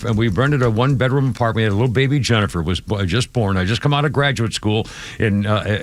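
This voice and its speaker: An older man from New England hosting a radio talk show, rambling through stories with many restarts and laughs in a studio. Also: medium pitch. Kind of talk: casual radio talk and storytelling. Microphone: studio microphone.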